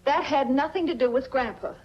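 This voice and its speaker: A woman's voice: sits high, fairly quick, natural voice. An actress playing a proud, wrongly accused young woman in a 1940s film noir, earnest and indignant. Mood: shocked indignation. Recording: dull, faintly noisy 1940s film soundtrack.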